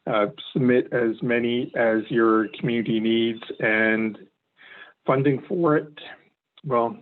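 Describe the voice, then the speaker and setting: A Canadian man who is losing his voice, presenting on a government webinar and inviting listeners to apply. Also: mid-range voice. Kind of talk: webinar explanation. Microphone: video call. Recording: tinny phone-like line.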